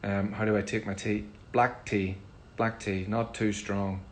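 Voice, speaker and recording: Northern Irish musician, middle-aged man, laid-back fan Q&A, slightly low voice. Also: unhurried, slight background noise. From Northern Ireland. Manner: relaxed, chatty, frequent ums. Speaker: musician answering fan questions. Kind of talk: casual livestream Q&A.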